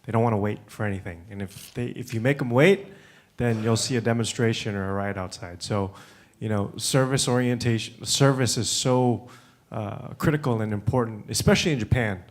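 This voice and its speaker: A man who grew up in the United States, speaking on a conference panel in a relaxed way with many fillers and restarts. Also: lowish voice, fairly quick, few pauses. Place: conference room.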